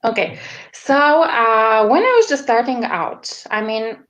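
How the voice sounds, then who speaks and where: Slow, hesitant woman with a Romanian accent. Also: fairly high pitch, expressive, a bit bright, poor audio. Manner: hesitant, searching for words with many fillers. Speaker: guest, media strategist. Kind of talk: interview answers.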